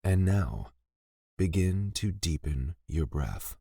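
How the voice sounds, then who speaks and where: Male meditation guide, low-pitched, gentle and unhurried delivery of scripted lines, very dry close sound. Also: very animated, creaky, breathy edge, dry recording. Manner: gentle, soothing, deliberate with pauses between phrases.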